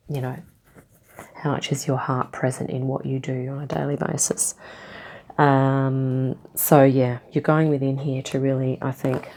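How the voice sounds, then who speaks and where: Low flat gravelly lady doing a tarot reading, kinda nasal with a cold, lots of ums. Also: slight background noise. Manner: meandering, many ums and okays, gentle reassuring tone. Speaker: tarot reader. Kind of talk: casual spoken tarot reading.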